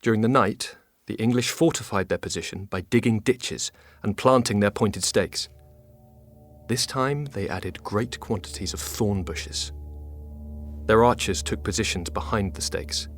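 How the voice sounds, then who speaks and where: A man playing a loyal French duke and military commander in an audio drama, with a measured, formal delivery. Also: fairly low pitch, close to an everyday voice. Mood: grave recounting.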